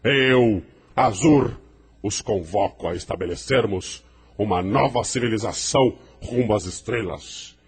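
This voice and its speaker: A man plays a menacing rebel demagogue for an audio drama. His voice is very low, and he declaims with grand, defiant pomp, as if rallying followers to a new order. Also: unhurried, slightly rough. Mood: rousing, defiant. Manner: pompous, declamatory.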